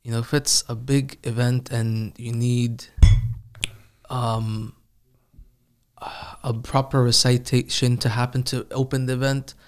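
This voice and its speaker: A man who recites the Quran, answering interview questions calmly and reflectively, sharing his own experiences. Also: mid-range voice, measured pace, pauses often, noisy background. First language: not Arabic. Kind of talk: casual interview.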